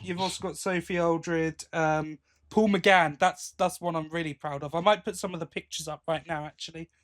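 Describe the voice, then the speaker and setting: Higher-voiced British man chatting on a podcast. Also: steady tone, moderate pace, fairly bright tone. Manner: chatty, rambling, self-interrupting. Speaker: podcast host. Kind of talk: casual chat.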